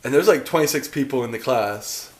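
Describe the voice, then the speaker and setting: A man in his thirties talking casually to a camera at home in a dark room, chatty and rambling, often cutting himself off mid-sentence. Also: mid-range voice, expressive, brisk, roomy sound. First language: English. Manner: rambling, chatty, self-interrupting. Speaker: vlogger.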